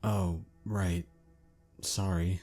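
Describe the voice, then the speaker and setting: A man playing a gentle, good-natured young male dragon in an audio drama, earnest and placating. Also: sits low, steady tone, extremely slow, soft background music.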